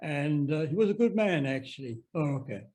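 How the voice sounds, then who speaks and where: A man's voice: a little high, expressive, fairly quick, a little mellow. An older man with a South African accent, giving a chatty, anecdotal talk on a video call. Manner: chatty, anecdotal, self-deprecating. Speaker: presenter.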